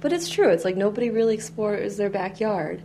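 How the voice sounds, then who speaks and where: Friendly woman interviewer, mellow voice. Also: medium pitch, expressive, a little fast, slight background noise. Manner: friendly, laughs often, with many short backchannels. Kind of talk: casual interview conversation.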